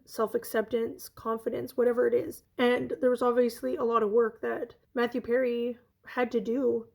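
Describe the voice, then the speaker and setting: A woman in her thirties chatting enthusiastically in a casual vlog, her voice hoarse from being ill. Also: a little high, a little mellow. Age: 30s. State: hoarse from illness. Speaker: vlogger.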